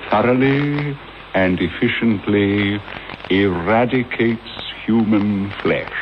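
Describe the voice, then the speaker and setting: An actor with a British accent playing a mad, vengeful, gloating villain in a theatrical, wordy radio drama style. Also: male voice, on the low side. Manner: theatrical, sardonic, elaborately wordy. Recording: dull, noisy old radio broadcast recording.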